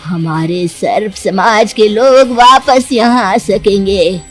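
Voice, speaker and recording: A woman voicing an aging serpent queen in a cartoon, a commanding mother who speaks with deliberate menace. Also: mid-range voice, soft background music, close to an everyday voice. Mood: vengeful, reassuring. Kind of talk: Hindi cartoon story acting.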